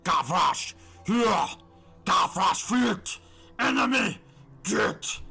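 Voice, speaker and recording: A savage alien brute from a storybook. The voice is pushed high and piercing, barking guttural fragments with a harsh rasp over music. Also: male voice, lively intonation, drawn-out words, heavily acted. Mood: savage, frenzied. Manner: shouted single words, guttural. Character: savage hulking alien soldier, barking broken speech.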